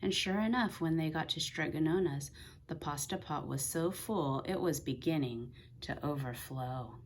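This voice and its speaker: A woman reading a children's story aloud with expressive storytelling delivery. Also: low voice, moderate pace, a little hiss or hum. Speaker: storybook reader.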